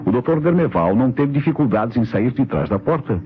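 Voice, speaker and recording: A Brazilian actor playing a shrewd, self-assured police inspector who lays out crimes theatrically in an old radio mystery. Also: male voice, mid-range voice, expressive, a little hiss or hum, natural voice. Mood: composed, probing. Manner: theatrical, deliberate, accusing explanations. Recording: dull old radio recording, faint music.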